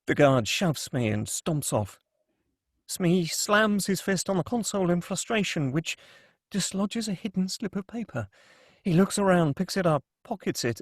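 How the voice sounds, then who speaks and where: A man narrates a script at a table read, reading stage directions. His voice sits a little high for a man, with a husky, unclear edge. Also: dry recording, clean studio sound.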